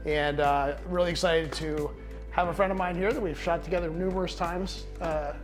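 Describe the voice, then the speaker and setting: A man hosting an interview as a photographer, speaking in a relaxed, chatty way with lots of "uh" fillers, indoors at a rented home. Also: a little high, moderate pace, soft background music. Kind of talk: casual sit-down interview.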